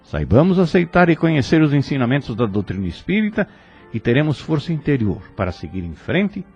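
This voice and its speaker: A man's voice: medium pitch, very animated, a little fast, a little mellow, husky, soft background music. A man reading scripted moral commentary in a solemn, preacherly manner. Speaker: spiritist commentator. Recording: dull, lo-fi sound.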